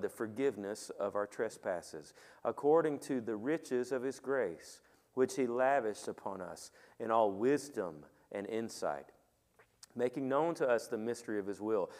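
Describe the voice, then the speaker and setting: A middle-aged man preaching a sermon as pastor in a church sanctuary on a headset mic, talking with the congregation and asking them questions, and praying quietly. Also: medium pitch. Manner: conversational, asks the congregation questions; soft, quiet when praying. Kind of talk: sermon with opening prayer. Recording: long reverb of a church hall.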